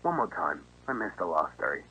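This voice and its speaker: Bright, chatty restaurant manager, acted by a man. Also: low voice, very animated, moderate pace, husky, full character voice, rough recording. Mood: friendly, businesslike. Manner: friendly, casual, reassuring. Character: chatty, easygoing restaurant manager interviewing a job applicant. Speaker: voice actor in an analog horror video. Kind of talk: acted phone conversation. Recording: faint noise and hum.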